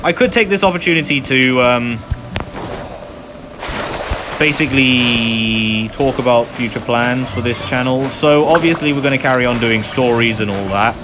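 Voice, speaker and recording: A man's voice: mid-range voice, moderate pace, background noise. A man giving casual, self-deprecating and apologetic commentary while playing a video game. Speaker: gamer narrating a playthrough. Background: loud video game music.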